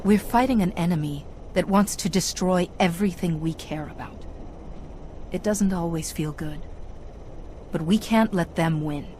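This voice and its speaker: A woman's voice: fairly low pitch, normal speed, dark tone, a little hiss or hum, natural voice. A woman playing a resolute, stern military commander in a video game, speaking in a firm, direct way. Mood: earnest. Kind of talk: video game cutscene acting.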